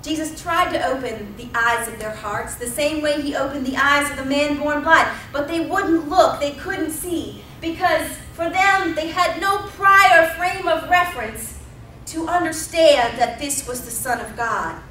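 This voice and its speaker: Bright-voiced woman preaching in a roomy church. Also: sits high, measured pace, distant mic, a little hiss or hum. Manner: expressive storytelling with emphatic repetition. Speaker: pastor. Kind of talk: sermon. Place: church sanctuary. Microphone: pulpit mic at a distance. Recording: roomy church sound from off-mic.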